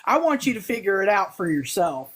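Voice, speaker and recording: A woman with a big, loud voice, speaking passionately on a live-stream discussion. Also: mid-range voice, big pitch swings, brisk, slightly rough.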